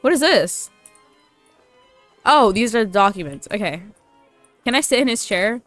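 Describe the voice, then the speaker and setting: A woman's voice: a little high, animated, unhurried, a bit bright, dry recording. A woman gaming YouTuber giving casual, informal playthrough commentary in a chatty way. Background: faint game music.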